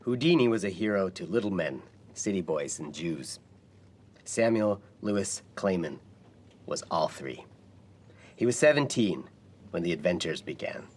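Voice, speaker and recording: A man speaking as a novelist in a measured, reflective way. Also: sits low, animated, a little mellow. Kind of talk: reflective interview and reading from own prose.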